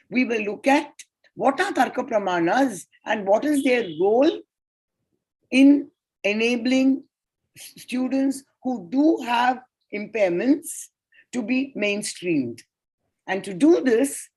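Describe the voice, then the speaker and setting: Tamil-native woman presenter, husky, fairly high, unhurried and methodical. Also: a little mellow, poor audio. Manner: measured, deliberate lecturing with enumerated points. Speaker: conference paper presenter. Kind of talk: academic conference presentation. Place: online conference session.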